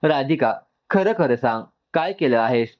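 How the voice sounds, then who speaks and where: An angry, suspicious sister in a storybook drama acted out by a man, firing accusing questions with big pitch swings. The sound is a bit dull and lo-fi. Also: expressive, measured pace, close to an everyday voice. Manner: sharp interrogating, accusing.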